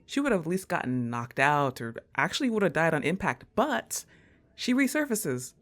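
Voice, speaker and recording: A woman playing a laid-back, teasing young goth roommate in an intimate ASMR roleplay, talking in an unhurried, conversational way to her crush. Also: sits low, very animated. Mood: animated, incredulous.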